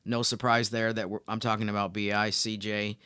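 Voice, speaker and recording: A man co-hosting a sports podcast, giving conversational analysis in an explanatory, measured manner. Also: on the low side, little pitch movement, sharp tone, smooth, no echo, studio quality.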